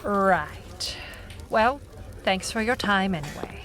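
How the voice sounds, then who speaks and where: A hardboiled female detective with a mid-pitched voice and a wry, deadpan delivery. She talks in clipped noir phrases, dogged and world-wise, with faint noise behind her in a detective radio play. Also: slow pace. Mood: probing. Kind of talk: noir audio drama narration and dialogue.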